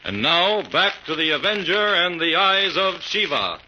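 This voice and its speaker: Male radio announcer, high voice, swooping delivery, loud organ music behind, vintage lo-fi sound. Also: very animated, unhurried. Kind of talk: old radio drama announcing.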